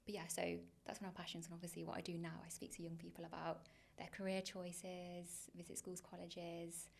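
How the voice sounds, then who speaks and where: A woman in her twenties from Leicester with a faded Leicester accent, chatting casually as a podcast guest, with many ums and a habit of trailing off quietly. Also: fairly low pitch, completely flat delivery, brisk, creaky, a little hiss or hum. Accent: faded Leicester English. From Leicester, England. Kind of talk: casual interview chat.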